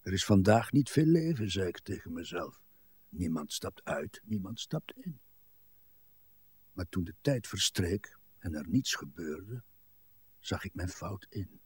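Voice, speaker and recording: An actor playing a weary, self-absorbed old husband in a literary radio drama, telling things in a dour, hesitant way with a husky voice. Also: male voice, deep voice, big pitch swings, a little mellow, dry recording, exaggerated voice. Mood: dour, musing. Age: older. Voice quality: husky, breathy. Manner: dour, deliberate storytelling with hesitations.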